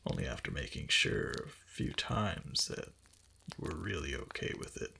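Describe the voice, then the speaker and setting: Guy acting as super low crackly obsessive fox spirit husband, breathy asmr roleplay, tender n coaxing, noisy close mic. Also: very animated, moderate pace, sharp tone, exaggerated voice. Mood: tender, explaining. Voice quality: breathy, half-whispered, very deep. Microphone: close microphone.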